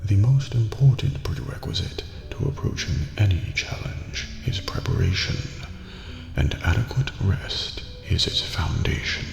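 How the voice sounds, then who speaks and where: A man playing a courteous, eerie old master of a dreamlike prison in an ASMR roleplay, speaking formally and ominously in a gravelly near-whisper. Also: fairly low pitch, lively intonation, measured pace. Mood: calm, instructive. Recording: echoey hall reverb with background music.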